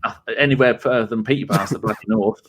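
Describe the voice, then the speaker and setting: A man's voice: on the low side, fast talker, a little hiss or hum. A man co-hosting a football podcast, rambling through his notes with a jokey, teasing manner. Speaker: podcast co-host. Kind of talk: casual football chat.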